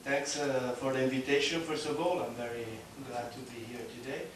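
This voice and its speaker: A man giving a conference presentation, speaking haltingly with many fillers and restarts. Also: medium pitch, fairly even intonation, background noise. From Italy. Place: large conference hall. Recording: echoey hall, speaker far off.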